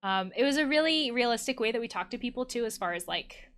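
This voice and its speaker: A woman's voice: a little high, expressive, fast, fairly bright tone, clear, resonant tone. A woman hosting a game playthrough, thinking aloud in a reflective, conversational way. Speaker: host of a gaming channel, therapist. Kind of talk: let's play commentary, reading game text aloud.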